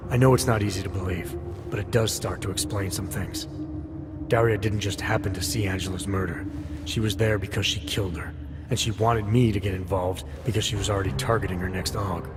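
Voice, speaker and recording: Man acting low-voiced stoic game investigator over music. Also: fairly even intonation, close to an everyday voice. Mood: calm, firm. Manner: terse, measured, unflappable. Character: calm gruff male augmented investigator, guarded and stoic. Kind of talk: video game cutscene acting. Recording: loud music underneath. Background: loud music.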